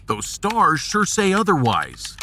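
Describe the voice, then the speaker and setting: A man playing a gruff, folksy American starship captain in a sci-fi audio drama, resolute and commanding with homespun slang. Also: fairly high pitch, huge pitch swings, takes it slowly, pushed and bright, a little hiss or hum, heavily acted. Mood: demanding.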